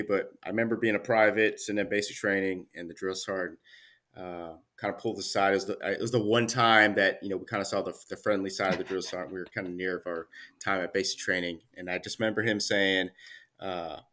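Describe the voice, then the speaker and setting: Low, bright-voiced man. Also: fast talker. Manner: relaxed, many fillers and restarts. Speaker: Army career manager guest. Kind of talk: casual interview chat.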